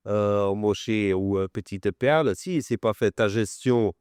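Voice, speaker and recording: Man with a German accent, clear voice. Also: on the low side, measured pace, darkish tone, no room sound, studio quality. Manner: teasing, storytelling. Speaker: chef. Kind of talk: casual chat.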